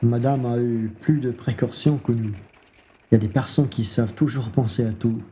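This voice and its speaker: A man's voice: mid-range voice, fairly even intonation, normal speed, dark tone, a little hiss or hum, natural voice. A man playing a hungry, blunt, wheedling bourgeois husband in a French radio play, speaking in an exclamatory, familiar way. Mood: eager flattery.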